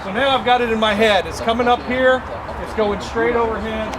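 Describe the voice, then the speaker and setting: A man's voice: very high voice, steady tone, brisk. A man in his thirties giving an informal, methodical step-by-step practical demonstration to onlookers outdoors. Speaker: amateur radio demonstrator. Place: outdoors by a brick building and car park. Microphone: camera a few metres away. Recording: outdoor camera from a distance, loud ambient noise.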